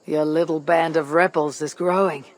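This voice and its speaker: An actor playing a steadfast, earnest Viking warrior in a video game, speaking in a measured, solemn way. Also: male voice, a little high, steady tone, lightly acted. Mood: calm. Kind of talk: dramatic video game acting.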